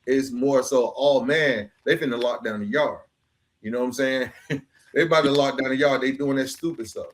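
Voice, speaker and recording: A man's voice: mid-range voice, normal speed. A man hosting a reflective long-form interview, mixing conversational street slang with rhetorical, motivational phrasing. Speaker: podcast host.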